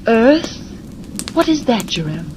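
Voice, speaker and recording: High-voiced devoted alien mother. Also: big pitch swings, takes it slowly, darkish tone. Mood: gentle, concerned. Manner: earnest, melodramatic delivery. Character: devoted, anxious alien wife and young mother. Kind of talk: 1940s radio drama acting. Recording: noisy vintage 1940s radio recording.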